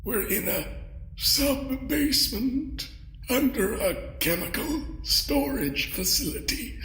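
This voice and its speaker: High, slow, sinister ancient creature. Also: male voice, expressive, sharp tone, reverberant, exaggerated voice. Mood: calmly menacing. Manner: slow, polite, cryptic. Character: ancient, mysterious captor creature, courteous and sinister. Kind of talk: audio drama acting.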